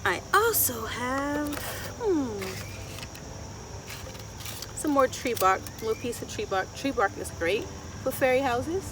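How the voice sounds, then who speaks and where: Woman, thirties, higher-pitched, children's educator, expressive questions, outdoor garden, clear insect and ambient noise. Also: takes it slowly, pauses often. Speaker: children's story time host and garden educator. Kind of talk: presenting to young children, asking questions.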